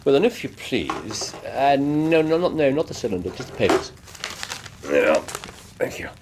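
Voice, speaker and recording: Man doing brisk wry english gentleman sleuth, expressive swoopy delivery, mid voice, faint hiss, radio play. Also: mid-range voice, close to an everyday voice. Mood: wry, reminiscing. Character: older gentleman detective, brisk, incisive, wry. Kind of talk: radio drama acting.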